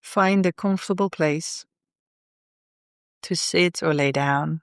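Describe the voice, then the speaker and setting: Slow, low-voiced woman guiding meditation. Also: no room sound, studio quality. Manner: soothing, unhurried, pausing mid-phrase. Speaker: guided meditation host. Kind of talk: guided meditation narration.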